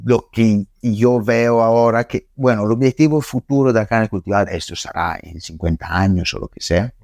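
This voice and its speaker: A man from Italy speaking with an Italian accent, a guest in a casual podcast interview, halting with many fillers and restarts. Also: on the low side, darkish tone, dry recording, clean studio sound. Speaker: guest scientist.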